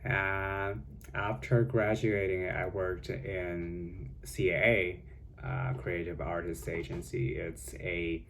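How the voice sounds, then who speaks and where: Young man, Chinese accent, low gravelly voice, slow unhurried talk, casual home vlog. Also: little pitch movement, a bit bright, slight background noise. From China. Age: young adult. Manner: rambling, many fillers and self-corrections. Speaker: vlogger. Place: home with cats.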